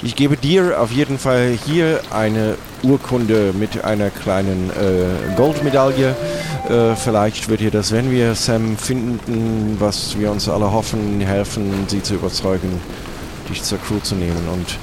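A man playing an earnest, helpful ship's crewman in an improvised audio play, speaking haltingly. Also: lowish voice, over music, natural voice. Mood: grave, earnest.

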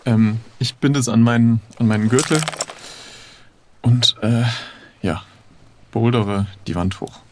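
A man's voice: mid-range voice, a little slow, long pauses, slight background noise. A man playing an improvised audio adventure, casually thinking aloud and hesitantly announcing his moves. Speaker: player in an improvised adventure game.

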